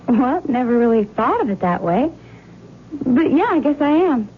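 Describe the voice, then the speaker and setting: Sweet earnest college girl in love, highish voice, old radio play, muffled. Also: sing-song, a little slow. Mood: cheerful, awkward. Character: carefree, affectionate young college woman in love. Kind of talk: old-time radio drama acting. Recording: muffled, slightly noisy radio recording.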